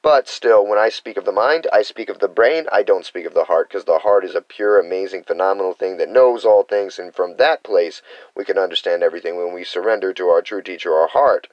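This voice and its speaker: American male spiritual teacher, earnest and meandering, low-pitched, muffled dry recording. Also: fairly quick, no echo. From United States. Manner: earnest, meandering, acts out quoted reactions. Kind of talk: unscripted spiritual teaching monologue.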